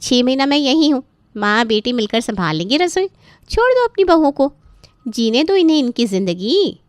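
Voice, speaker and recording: Woman as grown daughter, somewhat high pitch, chirpy, cheeky, persuasive, cartoon storytelling, dry sound. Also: big pitch swings, moderate pace, dry recording. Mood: breezy persuasion. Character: chirpy, cheeky adult daughter and sister-in-law.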